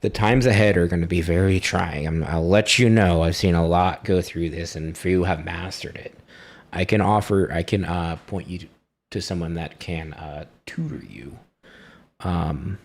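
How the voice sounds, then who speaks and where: A man's voice: sits low, measured pace. A man playing a confident, persuasive vampire fixer in a tabletop game, talking casually. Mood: earnest, advising. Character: confident male vampire fixer offering favors and mentoring.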